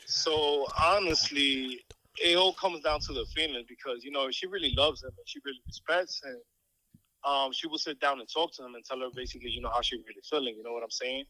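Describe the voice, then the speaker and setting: A man's voice: mid-range voice, brisk, sharp tone, no echo. A man on a phone call, talking casually about relationships with slang and swearing. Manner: laid-back slangy talk, swearing. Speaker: guest cousin on a phone call.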